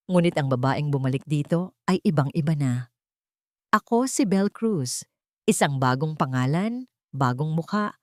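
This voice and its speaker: A Filipino woman reading a first-person drama story aloud in a measured, earnest way. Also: fairly low pitch, darkish tone, no echo, clean studio sound. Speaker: audiobook narrator.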